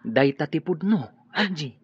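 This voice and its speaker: An actor with an Ilocano accent playing an easygoing gentleman who confides in a radio drama. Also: a little high, expressive, a little slow, husky, no echo, dull audio. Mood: matter-of-fact, confiding.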